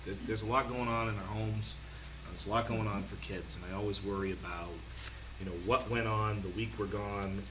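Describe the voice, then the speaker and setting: Man administrator, lowish voice, relaxed with many fillers, noisy board room. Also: fairly quick. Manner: relaxed, many ums and restarts. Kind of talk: meeting report. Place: school board meeting room.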